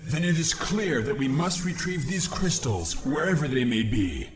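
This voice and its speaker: An actor playing a noble, earnest alien military commander in a video game, speaking formally and solemnly. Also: male voice, a little high, animated, moderate pace, close to an everyday voice. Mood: resolute. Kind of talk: scripted video game dialogue. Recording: loud music behind.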